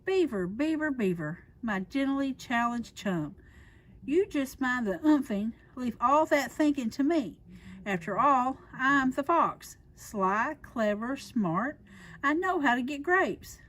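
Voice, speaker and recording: A woman plays a scheming, smooth-talking fox from a storybook. He is smug and pompous, talking down to others in slow, theatrical phrases pitched on the high side. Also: expressive, fairly bright tone, heavily acted, rough recording. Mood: condescending, impatient.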